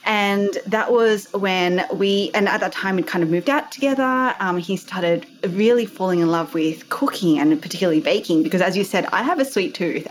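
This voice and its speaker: A woman in her thirties with an Australian accent, a guest telling her business story in a bubbly, chatty way with self-deprecating laughs. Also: fairly low pitch. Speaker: entrepreneur guest. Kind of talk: casual interview storytelling.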